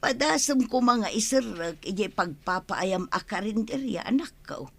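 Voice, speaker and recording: A woman with a northern Philippine accent playing an older, gentle, hopeful village mother in a radio drama. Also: mid-range voice, lively intonation, a little slow, vocal fry, heavily acted. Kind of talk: Filipino comedy radio drama acting.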